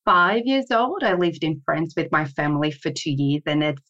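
Lowish-voiced Brazilian woman on a dull recording. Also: expressive, normal speed, a bit bright. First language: Portuguese. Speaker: podcast guest, economist. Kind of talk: conversational interview answers. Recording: slightly dull, lo-fi audio.

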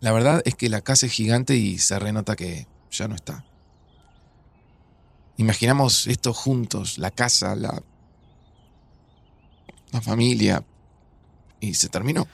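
An actor playing a grieving, resigned man in an audio drama, speaking Rioplatense Spanish in a subdued, hesitant, gravelly way. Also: lowish voice, measured pace, pauses often, no room sound, full character voice. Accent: Rioplatense Argentine. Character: grieving, resigned widower in a near-future Argentina. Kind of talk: naturalistic audio drama dialogue.

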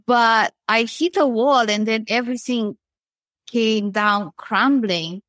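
A woman's voice: a little high, slow pace, a bit bright, no room sound. Romanian lady on a panel, earnest and personal, dry close sound. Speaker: panel guest, foundation founder. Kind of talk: panel discussion on a call.

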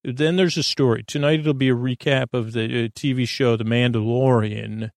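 A man hosting a bedtime podcast in a casual, meandering monologue, stammering and halting as he keeps drifting off topic. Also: mid-range voice, steady tone, creaky, no room sound, clean studio sound. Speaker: podcast host.

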